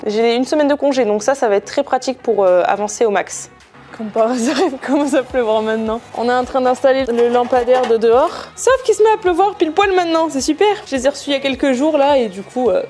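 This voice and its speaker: A woman's voice: mid-range voice, darkish tone. A young woman chatting casually to camera about her house renovation, frank and full of hesitations. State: stuffy nose from a cold. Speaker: home renovation vlogger. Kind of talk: casual vlog chat to camera.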